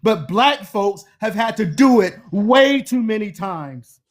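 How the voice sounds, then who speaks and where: High-voiced fifty-something man, earnest and emphatic. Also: expressive, slow pace. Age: 50s. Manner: earnest, emphatic, preaching cadence. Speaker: guest on a livestream. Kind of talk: impassioned political livestream talk.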